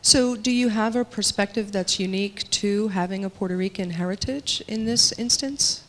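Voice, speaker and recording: A woman's voice: mid-range voice, normal speed, fairly bright tone. Woman speaking in a reverberant hall. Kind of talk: panel discussion. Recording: long reverb of a large hall.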